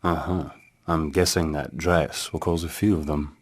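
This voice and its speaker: An actor playing a suave, wry field agent in a video game, with laid-back, dry banter. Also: male voice, extremely low pitch, fairly even intonation, moderate pace. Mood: wry, easygoing. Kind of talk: video game cutscene acting. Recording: music behind the voice.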